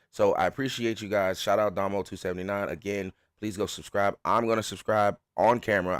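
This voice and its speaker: A man's voice: deep voice, no echo, studio quality. A man hosting a reaction live stream, chatting in an informal, rambling, self-effacing way. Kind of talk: casual live stream chat.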